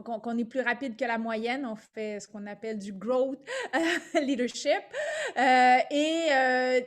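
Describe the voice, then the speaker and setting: A middle-aged woman with a Québécois French accent, presenting her company as a guest on a webinar video call, lively and quick to laugh. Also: high voice. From Quebec, Canada. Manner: lively and talkative, laughing often, with frequent fillers. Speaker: guest speaker, entrepreneur.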